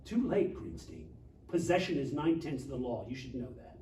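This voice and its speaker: Guy doing a hard-ass sarcastic teacher, barking orders, soft-edged tone, far from the mic. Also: medium pitch, animated, fairly quick, lightly acted. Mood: sarcastic, angry. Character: hard-ass, sarcastic male high school teacher, fifty. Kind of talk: live comedy screenplay table read. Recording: echoey room, distant mic, faint noise.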